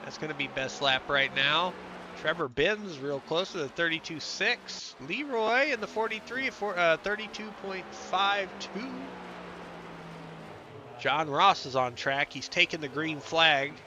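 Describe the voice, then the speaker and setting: A man's voice: fairly high pitch, unhurried, background noise. A man with a stuffy, nasal voice from a cold, doing chatty play-by-play commentary for an online race broadcast and reading out lap times. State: congested, under the weather. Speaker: sim racing commentator.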